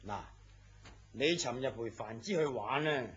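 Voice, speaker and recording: A man's voice: mid-range voice, a little slow, husky. An actor playing an overbearing, womanising middle-aged boss in a Hong Kong Cantonese radio drama, theatrical and bossy. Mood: breezy, bossy. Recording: muffled lo-fi radio drama audio.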